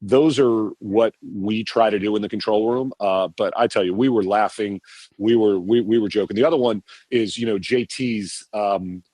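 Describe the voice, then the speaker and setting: Low-voiced man talking shop, full of restarts. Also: steady tone, moderate pace, a little mellow, dry recording. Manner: rambling with many restarts and repeated words. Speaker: TV producer guest. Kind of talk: podcast interview answers.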